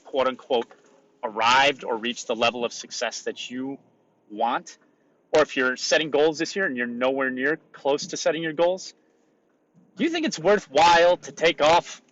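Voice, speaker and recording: Man, podcast host, raspy, emphatic, repeats himself, forceful tone, dull lo-fi recording. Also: medium pitch. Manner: emphatic, repetitive, coaching tone. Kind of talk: solo motivational podcast talk.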